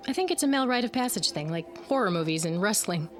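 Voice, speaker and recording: An actress playing a friendly, helpful suburban wife chatting colloquially with a close friend in a modern radio drama. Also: female voice, mid-range voice, expressive, fast talker, soft background music, natural voice. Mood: wry, concerned.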